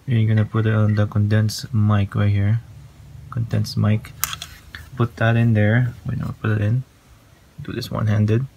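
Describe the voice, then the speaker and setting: Halting, flat-toned man with background hiss. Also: fairly low pitch, creaky, muffled recording. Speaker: tutorial presenter. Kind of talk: casual hands-on tutorial. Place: small room at home, indoors. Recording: noisy handheld home recording.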